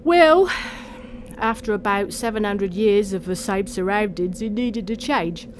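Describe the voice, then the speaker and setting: A performer voicing a gruff, grimy little gothic elf from a storybook, brusque and wry. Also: medium pitch, a little slow, noisy background, voice pushed up, close to an everyday voice. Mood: briefing, wry. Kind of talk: storybook character voice.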